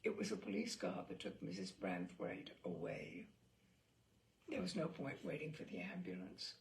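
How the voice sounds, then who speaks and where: A woman reading a story aloud in a dramatic, theatrical way, with deliberate pauses and emphatic phrasing. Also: mid-range voice, creaky. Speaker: reader of a story.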